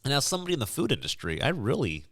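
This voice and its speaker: A man co-hosting a podcast, chatting casually and musing out loud as he tastes snacks, in an upbeat, chatty way. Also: mid-range voice, huge pitch swings, rapid-fire, no room sound. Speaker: podcast co-host. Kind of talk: casual snack-tasting chat.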